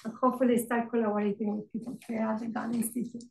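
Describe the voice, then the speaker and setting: A woman's voice: a little high, level tone, quick pace, vocal fry, a little mellow, reverberant, low-quality recording. A woman from Patagonia with a thick Argentine accent, a field ecologist giving a friendly, conversational research talk with frequent fillers. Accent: Argentine Spanish. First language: Spanish. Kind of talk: academic lecture with slides.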